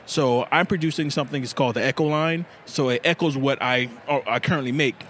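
A man's voice: mid-range voice, brisk, fairly bright tone, slight background noise. A man who makes knives, talking casually and earnestly in an interview at a festival, explaining step by step with plenty of fillers. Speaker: knife maker. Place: outdoor festival table.

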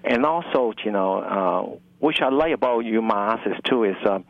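A middle-aged man with a Hong Kong accent, speaking in halting, fragmented phrases as an interview guest. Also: lowish voice, animated, dry recording, narrow, tinny audio. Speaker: university dining director, interview guest. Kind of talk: conversational radio interview.

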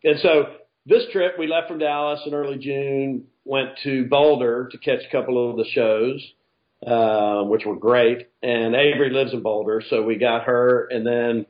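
A man's voice: medium pitch, fairly even intonation, measured pace, muffled recording. A man, chatting in a laid-back way as a podcast guest. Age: 50s. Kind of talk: casual storytelling.

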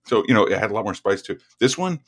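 A man co-hosts a podcast in a relaxed, joking manner. He pauses and restarts often. His voice is very low and deep. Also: slightly rough. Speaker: podcast co-host. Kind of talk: casual podcast chat.

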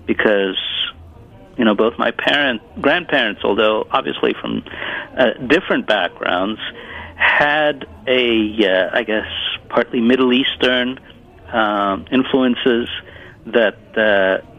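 A man's voice: medium pitch, animated, slow pace. A man from Italy whose first language is Italian, a cookbook author speaking over the phone in a casual interview, hesitant with many fillers and restarts. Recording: dull muffled phone line.

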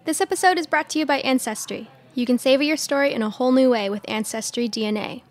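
A woman's voice: sits high, fast talker. A woman from Southern California with an American accent, hosting an interview podcast. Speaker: podcast host. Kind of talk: scripted intros and conversational interview.

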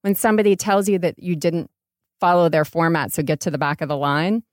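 A woman's voice: lowish voice, steady tone, fairly quick, no room sound, clean studio sound. A woman from New York talking candidly as a startup founder being interviewed, telling things emphatically and acting out little quotes. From New York, United States.

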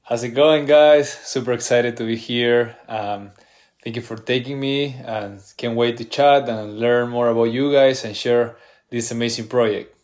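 A man's voice: mid-range voice, fairly even intonation, a little slow, darkish tone. A man from Peru with a Peruvian Spanish accent, a chef guest talking enthusiastically in a casual podcast interview.

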